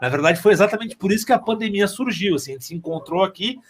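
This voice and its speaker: A man's voice: high-pitched, animated, quick pace, a little hiss or hum. A man chatting casually, rambling and joking as he goes.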